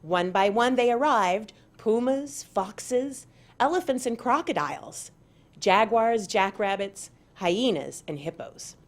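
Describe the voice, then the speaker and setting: Slow, expressive woman storyteller. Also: medium pitch. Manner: deliberate, dramatic emphasis. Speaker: parent and school board member reading aloud.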